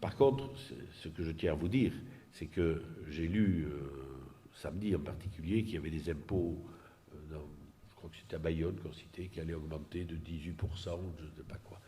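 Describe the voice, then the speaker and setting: A man giving an unscripted explanatory political presentation, halting and often repeating words as he searches for the next one. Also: rumbling low, fairly even intonation, warm tone, a little hiss or hum.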